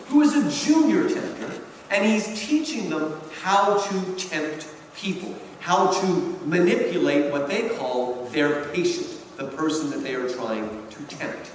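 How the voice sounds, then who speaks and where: An American man from New Jersey giving a public lecture with humorous stories, in a theatrical, emphatic manner. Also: high voice. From New Jersey, United States. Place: large reverberant event hall. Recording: echoey hall from far off, noisy.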